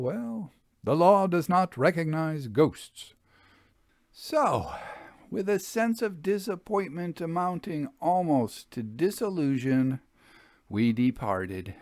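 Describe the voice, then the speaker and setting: Man playing a droll Victorian gentleman ghost hunter. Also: on the high side, no room sound, clean studio sound, pitched above the performer's own voice. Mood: weary, aggrieved. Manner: formal, droll, theatrically expressive. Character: wistful, genial Victorian gentleman ghost hunter narrating his travels. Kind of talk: dramatic first-person storybook reading.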